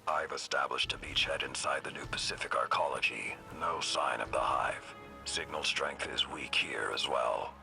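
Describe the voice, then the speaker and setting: An actor playing a stern, stoic soldier in a video game, giving terse military reports in a gravelly, breathy low voice. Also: moderate pace, sharp tone, faint music behind, heavily acted. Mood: guarded, hushed. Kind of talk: dramatic video game voice acting.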